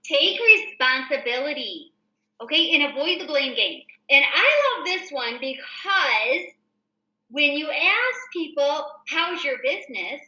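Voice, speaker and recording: American female host, very bright voice, informal and explanatory, recorded in an echoey room. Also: sits high, very animated, measured pace. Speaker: show host and real estate agent. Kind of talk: casual reading of a newsletter with commentary.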